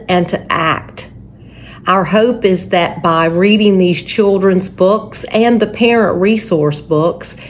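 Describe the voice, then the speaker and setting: A woman hosting a story time and reading to families in a measured, deliberate, expressive way. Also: fairly low pitch. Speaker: church story time host and reader. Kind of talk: children's picture book read-aloud with spoken introduction. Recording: faint background noise.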